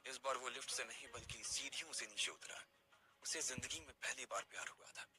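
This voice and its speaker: A man's voice: mid-range voice, brisk. A man narrating a scripted story as its narrator.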